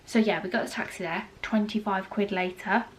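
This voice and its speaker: A woman's voice: mid-range voice, fairly even intonation, brisk, slight background noise. A British woman in her thirties with a cold, chatting casually to camera and telling a story with lots of ums and asides. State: congested with a cold. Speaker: vlogger.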